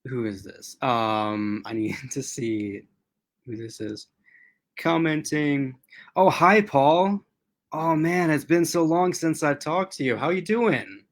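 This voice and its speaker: A man hosting a casual livestream, chatting with commenters in a rambling, excited way, with a strained voice he says he is losing. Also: on the high side, slow pace. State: losing his voice, clearing throat. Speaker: host.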